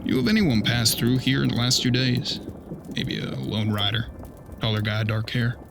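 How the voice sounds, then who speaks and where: A man's voice: medium pitch, moderate pace, vocal fry, noisy background, natural voice. A man playing a folksy, polite frontier detective in a western audio drama, with plainspoken country phrasing. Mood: casual, probing. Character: folksy, easygoing young frontier detective riding alone.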